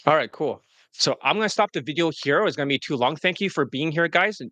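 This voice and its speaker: A man's voice: expressive, fast talker, pushed and bright, no room sound. A man hosting as a dating coach, talking bluntly and profanely, mocking his students with whiny put-on voices. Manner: brash, profane, mocks students in high-pitched quoted whines. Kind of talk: blunt promotional coaching talk.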